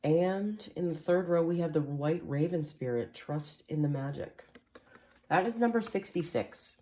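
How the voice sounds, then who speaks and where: Chatty woman with a low, mellow voice. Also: animated, unhurried. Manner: chatty, filler-heavy, frequent "like" and "um". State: slightly nasal and hoarse from allergies. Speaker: tarot reader. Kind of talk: casual tarot reading voice-over. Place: home, voice-over behind the camera.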